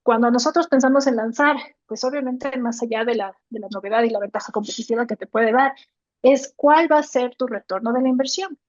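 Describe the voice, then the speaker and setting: A woman with a slightly high voice co-hosts a tech podcast, walking listeners through her points step by step and tossing in quick tag questions to check they follow. The sound is dry and a bit dull, with no room around it. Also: dry recording. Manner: explains step by step, often adds "¿no?" tag questions. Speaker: podcast co-host. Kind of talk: explanatory podcast talk on tech.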